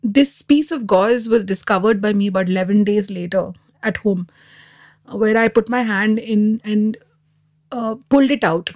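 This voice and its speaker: A woman's voice: mid-range voice, normal speed, a little mellow. A woman in her thirties from Dubai, a podcast guest sharing personal experiences in a candid, reflective way. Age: 30s. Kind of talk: personal storytelling in an interview.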